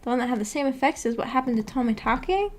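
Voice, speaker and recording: A woman hosting a casual livestream discussion, musing tentatively out loud with a giggly manner. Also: sits high, animated, quick pace. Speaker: host of a book club stream.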